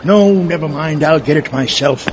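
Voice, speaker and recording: A man's voice: fairly high pitch, normal speed, muffled recording, very noisy, natural voice. A man playing an exasperated, grandiose mad scientist with a theatrical, fussy manner in a comedic audio drama. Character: exasperated grandiose mad scientist, would-be world dictator, male.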